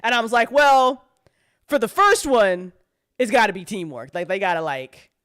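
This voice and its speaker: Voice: medium pitch, lively intonation, moderate pace, a bit bright, clean tone. An audio drama writer chatting casually on a live show, rambling and swearing freely, with slangy, dramatic retellings. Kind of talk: animated casual live chat.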